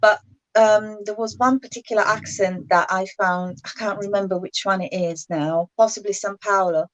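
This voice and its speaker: A woman's voice: medium pitch, fairly even intonation, moderate pace, muffled recording. A woman, explaining English usage casually as a guest in a language chat.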